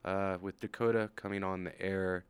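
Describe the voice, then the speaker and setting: Low-voiced man hosting a radio show, playful and teasing. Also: steady tone, moderate pace, clear, resonant tone, no room sound. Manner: teasing, laughs often. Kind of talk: casual chat.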